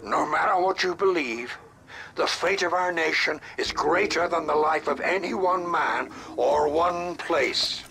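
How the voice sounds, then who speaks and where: An actor playing the scheming, menacing leader of a secret society, speaking with condescension. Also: male voice, fairly high pitch, unhurried, pushed and bright, slight background noise, low-quality recording. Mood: cold.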